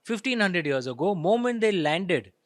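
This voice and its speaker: Man, Indian accent, fairly high pitch, emphatic conversational arguing, rhetorical tag questions, dry close sound. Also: animated, normal speed, no echo. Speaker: author of historical fiction, interview guest. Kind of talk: conversational explanation in an interview.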